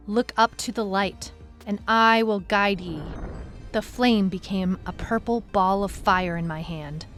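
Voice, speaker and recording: A woman's voice: mid-range voice, natural voice. Determined female detective, played by a woman, mid-range voice, first-person audio drama narration with music underneath. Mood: urgent. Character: determined female detective telling her own case. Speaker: lead narrator.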